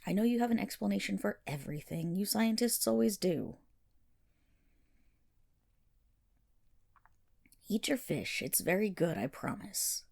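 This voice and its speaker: Woman playing a selkie, seal-folk woman, soft and breathy, swooping lively pitch, affectionate, fondly scolding, ASMR roleplay. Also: fairly quick. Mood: wistful, gentle.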